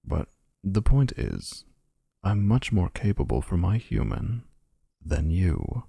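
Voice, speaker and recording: A man's voice: sits low, very animated, slow pace, creaky, mellow, slightly rough, dry recording, studio quality, exaggerated voice. A man voicing a possessive, smug male vampire suitor in a boyfriend roleplay, talking in a menacing, boastful way.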